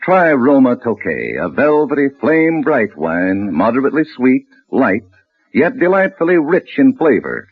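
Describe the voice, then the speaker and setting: A man's voice: mid-range voice, very animated, moderate pace, husky, no echo. A man announcing a sponsor's message on 1940s radio with a polished sales delivery, on a tinny old recording. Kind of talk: 1940s radio advertising read.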